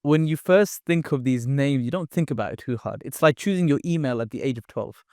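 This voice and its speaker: A man's voice: mid-range voice, a little fast, dry recording, studio quality. British man telling his story. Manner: relaxed storytelling with frequent fillers and restarts. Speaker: guest, content creator. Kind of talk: casual podcast interview.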